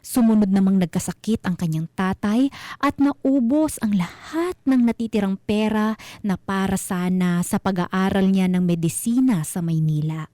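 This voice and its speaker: A woman with a Filipino accent narrating a scripted mystery story as host, in a measured, suspenseful manner. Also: mid-range voice, warm tone, dry recording, clean studio sound.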